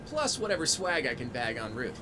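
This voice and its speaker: An actor playing an upbeat young robot hero in a video game, with a wry, quippy, informal way of talking. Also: male voice, mid-range voice, very animated, fairly quick, over music, lightly acted. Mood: determined. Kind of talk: video game cutscene acting.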